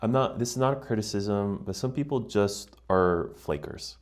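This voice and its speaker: A man's voice: lowish voice, moderate pace. An American man speaking as a podcast guest in a casual, reflective, explanatory way, often checking in with the listener. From United States. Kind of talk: casual conversational interview.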